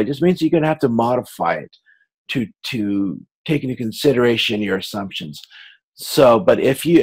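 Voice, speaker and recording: Man giving a halting, informal lecture. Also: medium pitch, darkish tone, slightly rough, rough recording. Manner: halting, repeats and rephrases himself as he explains. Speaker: university course lecturer.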